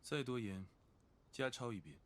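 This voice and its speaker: Aloof young man for animation, played by a man: terse, controlled, mid-pitched, faint noise behind. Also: animated, moderate pace, close to an everyday voice. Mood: cold. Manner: curt, controlled. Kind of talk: animated series voice acting.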